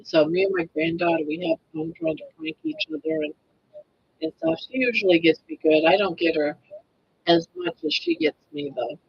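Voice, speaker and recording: A woman's voice: mid-range voice, sing-song, moderate pace, gravelly, breathy edge, dull audio. A woman in her sixties hosting a casual live stream, chatting in a rambling way and restarting her sentences. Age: 60s.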